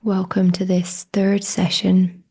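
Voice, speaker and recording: A woman leads a guided meditation in a soft, hushed delivery that often slips toward a whisper. Her voice is mellow and breathy, with a gravelly fry at the edges. She keeps her pitch very even as she offers slow invitations to the listener.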